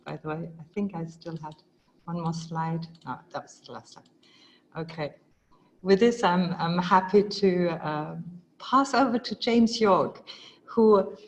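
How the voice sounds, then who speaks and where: A woman hosts an online webinar and talks with enthusiasm. She pauses often on fillers and restarts her sentences. The sound comes through a video call. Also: medium pitch, darkish tone, lo-fi sound. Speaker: webinar host. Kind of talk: live webinar presentation.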